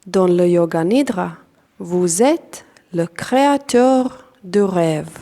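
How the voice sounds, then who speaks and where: Very slow woman guiding meditation, foreign-accented French. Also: on the low side. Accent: non-native French. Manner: calm, deliberate, short phrases with long pauses. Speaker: yoga nidra instructor. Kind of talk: guided meditation.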